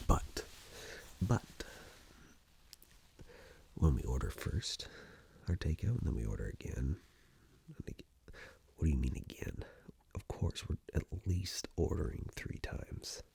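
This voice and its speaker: A man in a soft, unhurried, breathy ASMR roleplay, half-whispering close to the microphone as a flirtatious, playful romantic partner. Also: rumbling low, very animated. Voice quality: breathy, gravelly.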